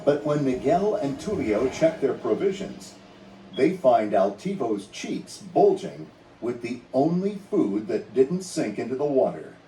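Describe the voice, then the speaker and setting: A man's voice: medium pitch, big pitch swings, unhurried, mellow, a little hiss or hum. A man reading a children's read-along story in a dramatic, scripted storytelling manner. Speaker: narrator. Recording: roomy, distant sound under music.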